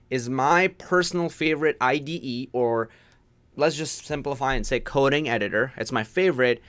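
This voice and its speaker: A man's voice: medium pitch, a bit bright. Young male stream host, from Pakistan, twenties, chatty, laughs easily, casual coding tips. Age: 20s. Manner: laughs often, quick and chatty. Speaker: programming livestream host.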